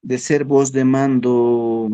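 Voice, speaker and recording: A man giving a philosophy workshop lecture, talking in a halting way and checking in with his listeners all the time. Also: mid-range voice, little pitch movement, dark tone, clear, resonant tone. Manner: slow, halting, with frequent "¿no?" and "¿ya?" checks.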